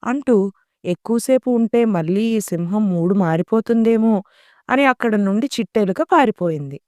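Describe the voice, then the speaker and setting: A woman's voice: mid-range voice, lively intonation, normal speed, a little mellow, dry recording, studio quality. A woman storyteller reading scripted narration for a children's story. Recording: music behind the voice.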